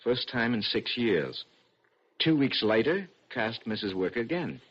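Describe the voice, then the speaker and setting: A dull old radio recording features a junior policeman. His voice is husky and mid-pitched, dutiful and matter-of-fact, in the style of a vintage crime serial. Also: sing-song, slow pace, exaggerated voice. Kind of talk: old-time radio crime drama acting.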